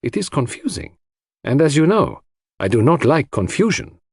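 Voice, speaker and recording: An elderly Belgian gentleman detective acted out by a male audiobook reader, enigmatic and self-assured. His speech is precise and courtly, rising and falling widely in pitch. The voice is lifted and slightly husky, recorded very dry. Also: very animated, moderate pace, no echo, exaggerated voice. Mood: pensive. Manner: precise, courtly phrasing sprinkled with French address. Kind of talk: audiobook character voice.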